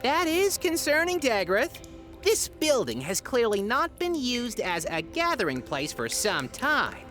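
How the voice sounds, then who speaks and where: Mischievous young woman game master, lively pitch, teasing storyteller. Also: unhurried, faint music behind. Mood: playful. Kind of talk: narrating a tabletop game in a comedy audio drama.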